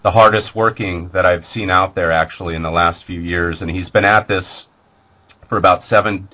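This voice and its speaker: A man's voice: low-pitched, fairly even intonation, moderate pace, pushed and bright. A man hosting an internet radio show, introducing an interview in an informal, unscripted way.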